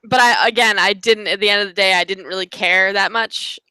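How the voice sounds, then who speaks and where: A young woman co-hosting a casual TV recap chat, emphatic and quick to repeat herself for effect. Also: medium pitch, fairly even intonation, bright, forceful tone, clean tone, no room sound, rough recording. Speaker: co-host.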